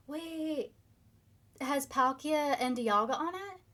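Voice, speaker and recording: A young woman, a bit hoarse, chatting casually with her viewers on a live stream. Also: high-pitched, lively intonation, slow, pushed and bright. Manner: chatty, upbeat, reads viewer comments. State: slightly hoarse, losing her voice.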